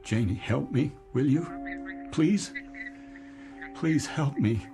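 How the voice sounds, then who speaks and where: A man's voice: mid-range voice, slow pace. An actor playing a weary, desperate businessman husband in a radio-style drama, speaking in a hesitant, strained, pleading way. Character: weary, desperate businessman husband heading home, near breakdown. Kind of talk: dramatic radio-play acting. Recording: dramatic music behind the voice.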